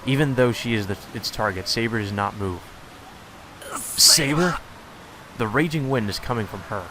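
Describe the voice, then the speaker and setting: A man's voice: medium pitch, lively intonation, moderate pace, background noise. A man reading visual novel text aloud as a let's play host, with dramatic delivery and casual asides, over game music. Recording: music playing behind the voice.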